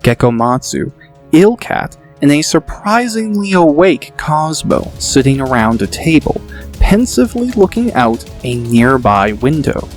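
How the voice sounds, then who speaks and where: A man narrating an audiobook in a steady, descriptive storytelling manner. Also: a little high, unhurried, soft background music.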